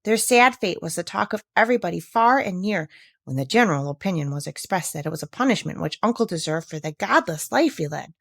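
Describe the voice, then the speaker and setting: A woman's voice: fairly low pitch, animated, a little fast, creaky, a bit bright, dry recording, studio quality, full character voice. A woman voicing a self-interested, knowing young village woman in a storybook, firm and matter-of-fact. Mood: recounting. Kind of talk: storybook character reading.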